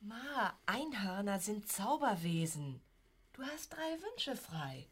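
An actress playing an eager, insistent young daughter who believes in magic, pleading in a German satirical radio play. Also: female voice, medium pitch, sing-song, slow, fairly bright tone, slightly rough, full character voice. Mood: urgent pleading.

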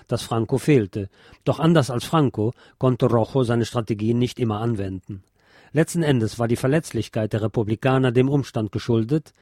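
A man narrates a written history text in the role of podcast host and reader. His voice sits at an average male pitch. The recording is dry, with very little room sound around the voice. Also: mellow, dry recording. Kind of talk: scripted narration, reading a history book aloud.

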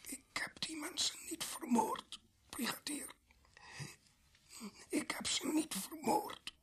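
An actor in a radio play playing a gravely wounded, bitter criminal who gasps weakly in halting, broken phrases. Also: male voice, very high-pitched, expressive, a bit bright, exaggerated voice. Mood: anguished, protesting. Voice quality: breathy, husky whisper. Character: dying, bitter male criminal protesting his innocence of murder.

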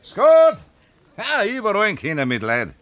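A man's voice: high voice, huge pitch swings, unhurried, fairly bright tone, dry recording, heavily acted. An actor playing a jovial, beer-loving man with a Bavarian accent in a 1960s radio play, folksy and cajoling. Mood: coaxing, jovial.

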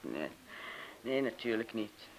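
A man's voice: on the low side, very animated, takes it slowly, heavily acted, low-quality recording. An actor playing a blunt, cynical young man in a radio play, probing in manner. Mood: probing, teasing.

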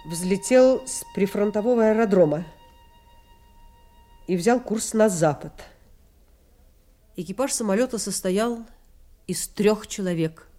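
Slow, solemn older woman veteran. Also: mid-range voice, mellow. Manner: measured, solemn, deliberate. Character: older female war veteran, former signals operator, solemn, tender. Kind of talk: audio drama narration and dialogue. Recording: instrumental music behind.

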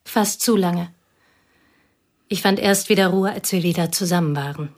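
An actress playing a provincial woman recalling an old school friend, speaking quietly and wistfully in a radio drama. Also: on the low side, normal speed. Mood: bitter. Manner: quiet, wistful, partly whispered.

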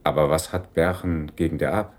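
An actor playing an insistent police investigator who talks in clipped, terse phrases in a radio crime drama. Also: male voice, a bass voice, fairly even intonation, brisk, darkish tone. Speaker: police detective.

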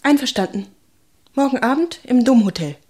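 An actress playing a brisk, self-possessed young typist in her twenties in a radio drama, businesslike and pert. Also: high-pitched, expressive. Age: 20s. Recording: clear background noise with clicks.

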